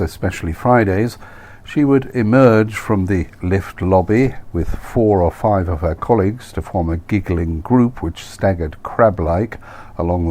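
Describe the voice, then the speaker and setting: A man reading his own novel aloud as scripted first-person narration in a wry, mannered way. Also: low-pitched. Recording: clear steady background noise.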